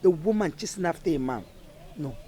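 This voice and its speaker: A woman's voice: sits low, lively intonation, fairly quick, dark tone, a little hiss or hum. An actress playing a worried middle-aged mother, lecturing her daughter earnestly.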